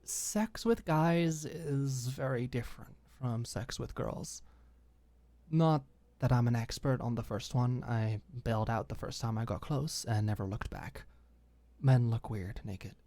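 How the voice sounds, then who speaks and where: Woman acting young woman, frank, caring, tentative, gravelly fry, mellow tone, careful halting phrasing, audio drama. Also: extremely low pitch, dry recording. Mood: frank, reassuring.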